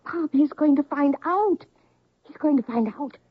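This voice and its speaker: A woman's voice: high-pitched, measured pace. A woman playing an anxious, secretive mother of a grown son in a radio drama, probing and hesitant. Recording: dull, muffled old radio recording.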